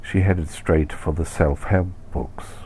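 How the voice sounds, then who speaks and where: A man narrates a comic short story of his own in a very low, mellow voice. His delivery is dry, wry and literary. A faint noise runs behind the reading. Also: fairly even intonation, slow.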